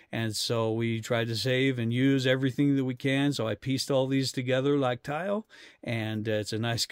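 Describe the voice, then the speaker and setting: A man's voice: medium pitch, clean tone, no room sound, studio quality. A middle-aged man talking casually and plainly to viewers as an outdoor vlogger, explaining things in an easygoing way. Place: outdoors in snowy woods.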